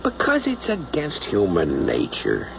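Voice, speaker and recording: An actor playing a reluctant, grumbling husband from the far future in an old-time radio drama. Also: male voice, lowish voice, huge pitch swings, a little slow, gravelly, heavily acted. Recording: muffled old radio recording, loud noise.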